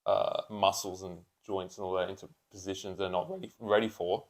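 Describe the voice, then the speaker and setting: Man in his twenties, low voice, podcast co-host, casual unscripted chat, slangy, sweary. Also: fairly even intonation, brisk. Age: 20s.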